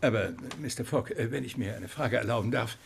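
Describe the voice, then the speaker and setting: A man plays a polite, puzzled gentleman in a radio play. His mid-pitched voice has a clear creak. He speaks hesitantly and with deference. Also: fairly quick, over loud music, natural voice. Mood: puzzled, deferential. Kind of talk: German radio play acting.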